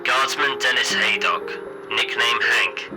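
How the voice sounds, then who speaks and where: An actor playing a stiff, formal army official reading dispatches over wartime radio in a clipped, impersonal way. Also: male voice, medium pitch, slow pace, low-quality recording. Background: music.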